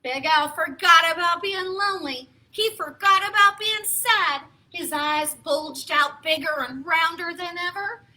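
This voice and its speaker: A woman's voice: very high-pitched, unhurried, hardly any pauses. A woman hosting a children's storytime, reading aloud in an exaggerated, playful, theatrical way. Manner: exaggerated, playful, expressive delivery. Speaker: children's storytime host and reader.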